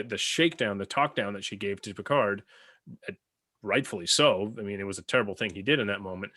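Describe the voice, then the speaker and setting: A man's voice: fairly low pitch, fairly bright tone, dry recording. Earnest man talking on a podcast. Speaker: podcast panelist. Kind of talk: casual discussion of a TV series.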